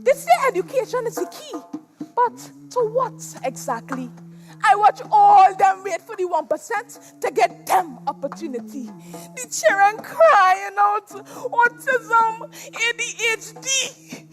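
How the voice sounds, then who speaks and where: An embittered, chained spirit of a former slave acted by a woman speaks in a very high Trinidadian voice. The rhyming stage monologue is slow, theatrical and anguished, with music heard along with it. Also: animated, heavily acted. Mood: indignant. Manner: theatrical, anguished, deliberate, drawn-out delivery. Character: embittered chained former slave turned restless lagahu spirit, preaching warnings. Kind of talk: dramatic spoken-word stage monologue in rhyme. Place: stage at a competition in a performance hall. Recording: live stage performance with music.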